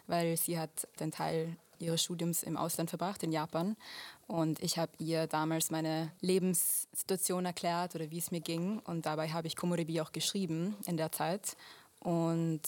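A woman's voice: deep voice, a little mellow. A young woman from Vienna, a musician answering radio interview questions thoughtfully and searching for words as she goes. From Vienna, Austria. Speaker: musician guest. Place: radio studio.

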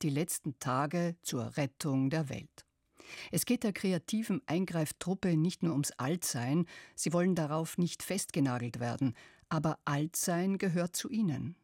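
Dry, measured radio narrator. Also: mid-range voice, a little mellow, dry recording.

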